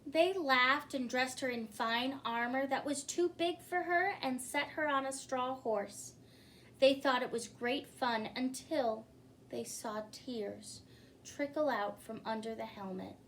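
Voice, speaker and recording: A woman reads a children's story at a slow pace in a fairly high voice. Her delivery is expressive, the kind used at story time for young listeners. There is noise on the recording. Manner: expressive, pausing to ask listeners questions. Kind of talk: children's picture book read-aloud. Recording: clearly noisy recording.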